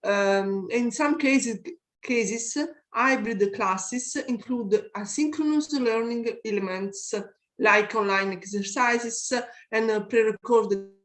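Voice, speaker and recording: A woman from Italy, a math teacher, presenting in careful, halting English with an Italian accent. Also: fairly high pitch, reverberant. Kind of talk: conference presentation. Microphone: video call.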